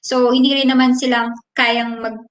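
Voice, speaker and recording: A woman's voice: on the high side, little pitch movement, moderate pace, reverberant. A woman in her thirties with a Filipino accent, hosting a casual live question and answer stream and reading viewers' comments aloud. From Philippines. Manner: friendly, reads viewer comments aloud, explains at length. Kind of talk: casual live Q&A, switching between English and Tagalog.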